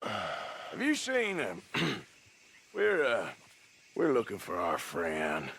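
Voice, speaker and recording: A man's voice: on the low side, wildly swooping pitch, drawn-out words, creaky, fairly bright tone, breathy edge, no room sound, exaggerated voice. An actor playing a blunt American outlaw gunman in a video game, with a dry, grudging, sardonic manner. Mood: hesitant. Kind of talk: dramatic video game acting.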